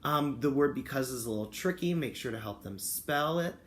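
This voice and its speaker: A man speaking as a kindergarten teacher, reading and explaining to young children in an expressive, encouraging way with simple phrasing. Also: mid-range voice, a little fast, a little hiss or hum. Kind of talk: picture book read-aloud and talk to children and parents.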